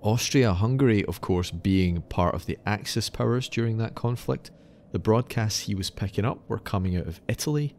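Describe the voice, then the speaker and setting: Low-voiced man hosting a podcast. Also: normal speed, darkish tone, slight background noise. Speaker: podcast host. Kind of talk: scripted narration mixed with casual chat.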